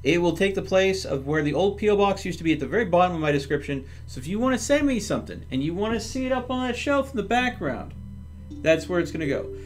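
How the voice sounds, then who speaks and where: A man's voice: high voice, lively intonation, fast. A man hosting a livestream, casually talking through his game step by step and chatting with viewers. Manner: thinks aloud step by step.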